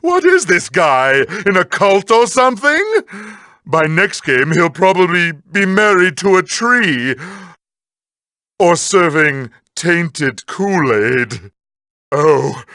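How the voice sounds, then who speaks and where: An actor playing a sardonic evil mad scientist villain in a game, with pompous, mocking, drawn-out theatrical delivery. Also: male voice, sits high, pushed and bright, no echo, clean studio sound, exaggerated voice. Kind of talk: scripted comedic in-character monologue.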